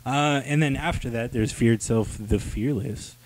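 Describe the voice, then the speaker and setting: A man's voice: mid-range voice, normal speed, dry recording. A man talking casually and slangily as the host of a comic book review show. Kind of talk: casual chat.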